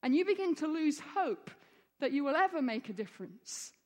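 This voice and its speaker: Female preacher raised in Egypt, high voice, earnest sermon delivery building to fervent peaks, big hall reverb. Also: big pitch swings, moderate pace, a little mellow. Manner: earnest, rising to fervent emphasis. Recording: long reverb of a large hall.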